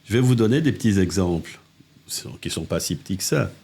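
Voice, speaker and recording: A husky, fairly low-voiced older man speaks. He is a mayor telling animated stories as a podcast guest, circling back and restarting his sentences as he goes. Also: a little fast. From Congo. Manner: animated anecdotes with restarts and asides. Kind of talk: casual interview storytelling. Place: living-room podcast set.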